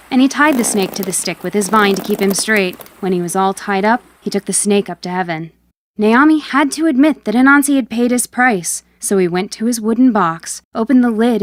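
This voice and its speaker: A woman with a medium-pitched voice narrates a storybook tale as host. Her scripted delivery is clear and measured. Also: slight background noise.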